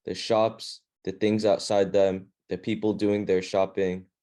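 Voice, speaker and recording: A man's voice: lowish voice, level tone, a little slow, warm tone. A man leading a reading club, reading a children's fantasy novel aloud in a plain, informal way.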